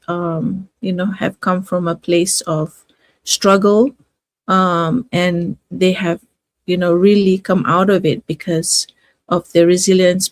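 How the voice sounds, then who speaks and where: A middle-aged woman from Fiji hosting a casual motivational livestream, talking in a halting, reflective way and drawing out words for emphasis. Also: lowish voice, darkish tone, dry recording. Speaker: livestream host, nurse and online business owner.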